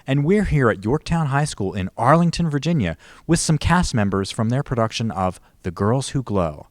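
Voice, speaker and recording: A man's voice: mid-range voice, big pitch swings, moderate pace, no room sound. A man with an American accent, hosting a local TV interview and asking questions. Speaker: host interviewer.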